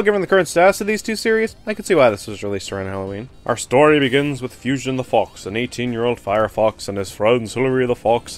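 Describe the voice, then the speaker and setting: Young male review host, highly expressive and sardonic, exasperated, music bed underneath. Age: young adult. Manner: sarcastic, exasperated, self-mocking. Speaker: host of a fan fiction review show. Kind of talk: scripted comedic critique narration. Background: background music.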